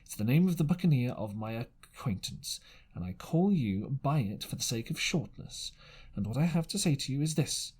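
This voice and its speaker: A man's voice: medium pitch, animated, normal speed, darkish tone, husky. A man voicing a stern, scornful country doctor in a storybook reading, blunt and commanding. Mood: stern, scolding.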